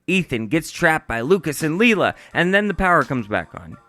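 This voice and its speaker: Animated male reviewer, light music underneath. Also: a little high, moderate pace. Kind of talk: scripted review narration.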